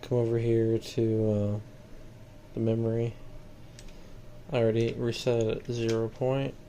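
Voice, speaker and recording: A man's voice: mid-range voice, completely flat delivery, unhurried. A man giving a casual, matter-of-fact walkthrough of equipment he is selling, plain-spoken, in a noisy home-video recording. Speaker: seller demonstrating equipment.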